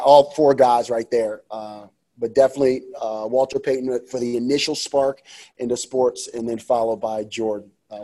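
Mellow-voiced man in his forties, emphatic. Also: mid-range voice, poor audio. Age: 40s. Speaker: youth sports coach, interview guest. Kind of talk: casual conversational interview.

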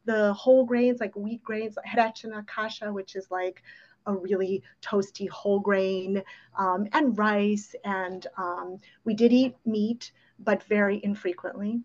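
A woman's voice: mid-range voice, steady tone, measured pace, a little mellow, highs cut off. A woman in her fifties with an American accent, a native Ukrainian speaker, telling personal stories casually with conversational asides. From New York City, USA. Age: 50s. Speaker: podcast guest.

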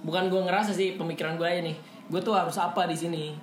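A young man from Papua with a Jakarta accent, chatting as a podcast guest in a relaxed, slangy, storytelling way. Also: high-pitched, fast, slight background noise. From Papua, Indonesia. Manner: relaxed, slangy, animated retelling. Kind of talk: casual storytelling chat. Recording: echoey room, faint music.

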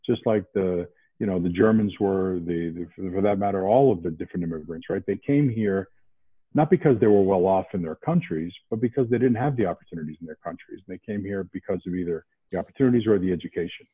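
A man's voice: low voice, fast talker, slightly rough, no room sound. Guy from outside boston, guest on a podcast call, repeats words a lot, sounds like a phone line. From New England, outside Boston. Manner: animated, many restarts and repeated words. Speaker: podcast guest, investment banker. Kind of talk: casual interview talk.

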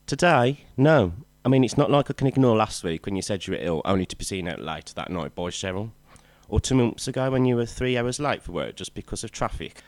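A man's voice: lowish voice, lively intonation, a little fast, dry recording, natural voice. A man with a British accent playing a stern, reproachful shop manager in an audio drama, firm and lecturing.

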